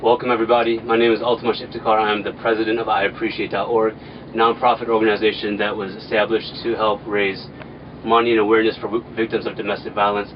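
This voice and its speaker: A man's voice: on the low side, flat delivery, fast. A young man from the American Midwest interviewing a guest in a laid-back, slangy way, with rambling questions. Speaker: interviewer, nonprofit president. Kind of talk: casual interview. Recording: distant, dull, noisy.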